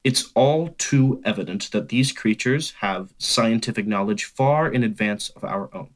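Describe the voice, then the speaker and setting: A man playing a learned scientist, formal and deliberate, explaining things in a radio play. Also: on the low side, close to an everyday voice. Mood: grave, analytical. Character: measured male scientist, a learned professor. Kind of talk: radio play expert statement.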